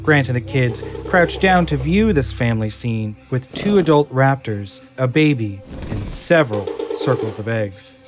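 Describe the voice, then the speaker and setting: A man's voice: medium pitch, expressive, a little slow, hardly any pauses, over music. A man narrating an audio drama in a scripted, dramatic storytelling style.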